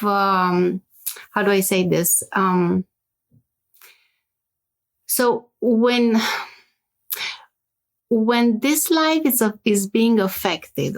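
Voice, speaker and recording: A woman from Romania speaks with a Romanian accent and a gravelly edge to her voice. She explains her practice at length as an interview guest, checking in with "right?" after each point. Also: medium pitch.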